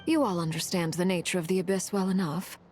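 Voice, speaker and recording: A woman playing a composed, commanding harbinger of cursed fire in a video game, speaking in a measured, solemn, formal way. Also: lowish voice, steady tone, darkish tone, soft background music, natural voice. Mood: solemn, reflective. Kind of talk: dramatic video game voice acting.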